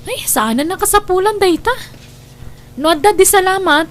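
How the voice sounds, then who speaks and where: A woman's voice: very high-pitched, moderate pace, a little hiss or hum. A woman with an Ilocano accent playing a lonely, resentful young wife in an audio drama, plaintive and evasive. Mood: wistful.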